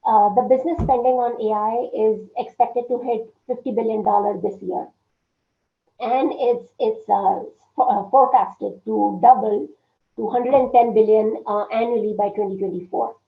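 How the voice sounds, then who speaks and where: A woman presents an online workshop in a fairly high, strained and hoarse voice. She pauses often on fillers and keeps clearing her throat. Her video call sound is narrow and phone-like, with a roomy echo. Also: fairly even intonation. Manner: halting, full of fillers and frequent throat clearing. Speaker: workshop speaker. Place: indoor room at home. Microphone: laptop webcam on a video call.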